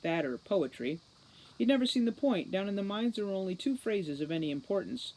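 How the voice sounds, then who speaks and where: A woman narrates a children's fantasy audiobook. Her voice has a medium pitch and she reads in a scripted storytelling style. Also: lively intonation, brisk, a little mellow, low-quality recording. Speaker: audiobook reader.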